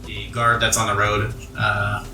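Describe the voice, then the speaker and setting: A man's voice: on the low side, completely flat delivery, measured pace. A man acting as game master, narrating a tabletop game to players in a conversational, descriptive storytelling way. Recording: echoey room, far from mic, music behind. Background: music.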